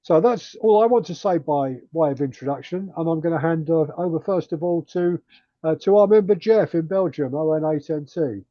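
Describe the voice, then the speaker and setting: A man hosting an online radio club meeting, speaking haltingly with many fillers. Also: a little high, mellow, dry recording, muffled recording. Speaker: club host.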